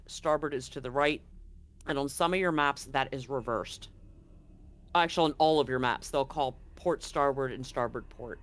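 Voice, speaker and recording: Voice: mid-range voice, a bit bright, clear, resonant tone. A game master explaining a tabletop roleplaying game in a conversational, explanatory way.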